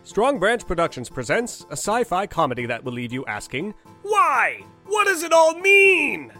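A man's voice: high-pitched, wildly swooping pitch, moderate pace, bright, forceful tone. A man announcing a comedy trailer in scripted promo narration. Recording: music behind the voice.

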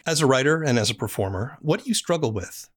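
A woman hosting an interview, thoughtful and measured, with the odd playful aside. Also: rumbling low, expressive, slightly rough. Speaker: podcast interviewer. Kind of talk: conversational interview.

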